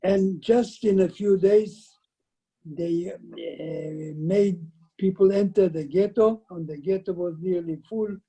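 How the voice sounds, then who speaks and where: Man in his nineties, from Lithuania, high-pitched, slow and halting, interview guest, video call. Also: warm tone, slightly rough, low-quality recording. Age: 90s. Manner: halting, with repeated words and broken sentences. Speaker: guest, survivor giving testimony. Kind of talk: recounting life story in an interview. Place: indoors at home, by shelves of framed photos. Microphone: video call, computer microphone.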